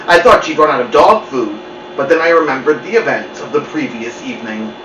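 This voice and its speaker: A man reading a children's story aloud with expressive, dramatic narration. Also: medium pitch, brisk. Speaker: host, storybook reader. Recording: muffled, roomy, distant mic with noise.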